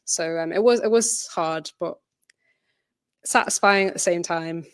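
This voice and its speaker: A woman's voice: fairly low pitch, a little slow, clean tone, dry recording, studio quality. A woman speaking as a writer at an informal question session, giving hesitant, reflective answers full of qualifications. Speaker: audio drama writer and presenter. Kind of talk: informal presentation Q&A, conversational answers.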